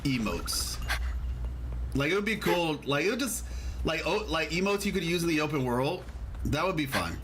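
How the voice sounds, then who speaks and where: A man giving casual gameplay commentary as a streamer, rambling and thinking out loud. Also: fairly high pitch, sing-song, normal speed, background noise. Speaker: game streamer.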